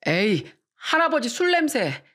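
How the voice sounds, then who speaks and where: A woman's voice: on the high side, big pitch swings, a little slow, a bit bright, pitched above the performer's own voice. A woman voicing an innocent, curious young child in a story, artless and full of exclaimed questions. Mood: complaining. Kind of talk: scripted story dialogue.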